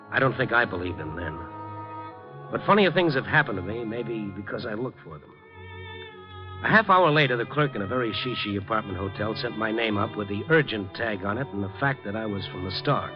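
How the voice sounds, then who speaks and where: An actor playing a cool, world-weary reporter in a 1950s radio drama, wry, laconic and questioning. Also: male voice, fairly low pitch, lively intonation, moderate pace, over music, close to an everyday voice. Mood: wry, reflective. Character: cool, probing, world-weary newspaper reporter, adult man. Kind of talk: 1950s radio drama acting and first-person narration. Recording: muffled vintage 1950 radio recording.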